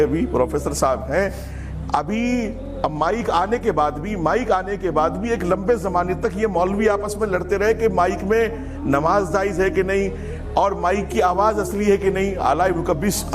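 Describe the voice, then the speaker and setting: Man, high voice, cleric, critical debate talk, emphatic repetition, music in the background.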